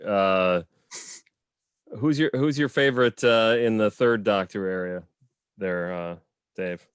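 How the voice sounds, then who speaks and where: A man moderating a panel over a video call, keeping the discussion moving in a relaxed way. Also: lowish voice, slow, clean tone, no room sound, studio quality.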